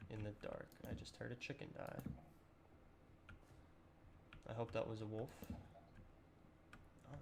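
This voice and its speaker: A young man with a slightly raspy, sore voice, chatting casually and rambling through a let's-play with lots of ums. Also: medium pitch, background noise. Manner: relaxed, rambling with many ums and throat clearing. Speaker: gaming channel host.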